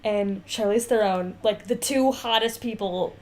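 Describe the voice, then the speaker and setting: A woman's voice: mid-range voice, a little slow. A young woman co-hosting a casual podcast, irreverent and given to exaggeration, swearing freely and often laughing as she talks. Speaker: podcast co-host. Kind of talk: casual, bantering podcast chat.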